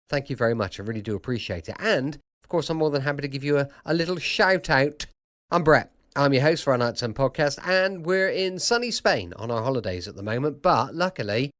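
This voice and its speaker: A male podcast host talks straight to his listeners from a small studio. His delivery is very melodic and animated. He is chatty, personal and appreciative. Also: mid-range voice. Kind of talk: podcast introduction addressed to listeners. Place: small home-style studio.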